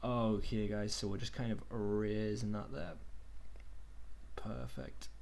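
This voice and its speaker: A young man casually talking through a screen-share tutorial on a video call, relaxed and chatty. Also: on the low side, a little slow, gravelly, slight background noise. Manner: relaxed, chatty, says "okie dokie" and "kind of". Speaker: online workshop tutor.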